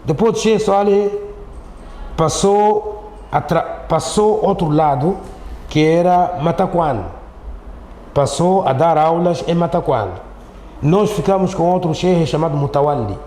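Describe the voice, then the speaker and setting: A man's voice: a little high, a little slow, dark tone. A middle-aged man with a Mozambican accent, recounting things conversationally as an interview guest, repeating himself and often ending on tag questions. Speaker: interview guest, Islamic teacher. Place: echoey studio. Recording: roomy echo, faint background noise.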